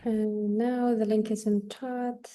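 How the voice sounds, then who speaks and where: Slow, soft-spoken woman presenting on a video call. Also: fairly high pitch, little pitch movement. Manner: hesitant, many fillers, mixes in Arabic words. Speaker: workshop presenter. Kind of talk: screen-share product demo.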